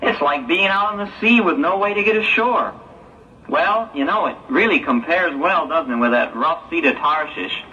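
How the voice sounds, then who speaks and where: A man's voice: fairly high pitch, animated, normal speed, bright, forceful tone. A man playing a worried, inquisitive young man in a 1960s religious audio drama. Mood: surprised, concerned. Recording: distant, dull, hissy 1960s tape.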